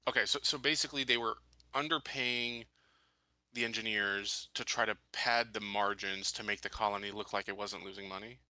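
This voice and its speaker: A man playing a tabletop game, talking casually and haltingly as he lays things out and seeks clarification. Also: lowish voice, fairly even intonation, bright, forceful tone, rough recording. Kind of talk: casual game-table talk with in-character questioning.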